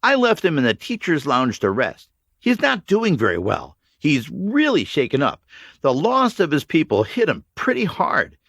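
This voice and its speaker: A man voicing a tired, determined woman who leads a group of children through a ruined city, speaking in steady, encouraging commands. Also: fairly high pitch, moderate pace, dry recording, studio quality, voice pushed up, full character voice.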